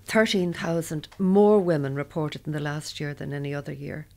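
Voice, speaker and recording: A woman's voice: deep voice, normal speed, creaky, a little mellow. An Irish woman in her seventies speaking in a group discussion, direct and matter-of-fact.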